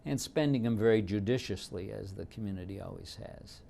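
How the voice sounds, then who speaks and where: A man in his seventies from Detroit, whose first language was Yiddish, recalling his past in an unhurried oral history interview with frequent fillers. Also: lowish voice, vocal fry, dark tone, slight background noise. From Detroit, United States. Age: 70s.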